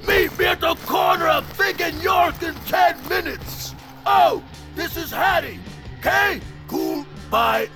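An actor playing a huge possessed metalhead man, shouting another person's message at full force in an audio drama. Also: very high-pitched, slow pace, long pauses, music in the background, heavily acted. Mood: frantic shouting.

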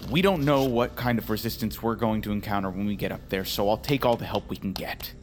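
A man's voice: lowish voice, brisk, slight background noise, close to an everyday voice. A man playing an earnest, determined hero on a rescue mission in an audio drama, plainspoken and a little awkward. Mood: determined, uneasy. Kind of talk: audio fiction acting.

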